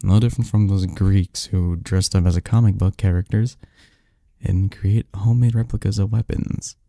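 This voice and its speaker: A man's voice: sits low, steady tone, moderate pace, vocal fry, dark tone, no echo, clean studio sound. A man playing a snarky, cynical young research assistant in an audio drama, talking conversationally with a scoffing, pedantic manner and small stumbles. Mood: skeptical, lecturing.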